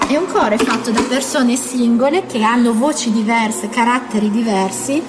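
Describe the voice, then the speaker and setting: Woman interviewee, casual and brisk, slightly high voice, noisy location sound. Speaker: interviewee, young entrepreneur.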